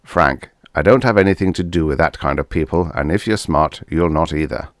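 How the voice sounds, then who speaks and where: A man's voice: extremely low pitch, a little fast, no echo, clean studio sound. A man voicing an indignant, curt friend in a science fiction audiobook, stern and exasperated. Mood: wary. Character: indignant, curt male friend warning and scolding.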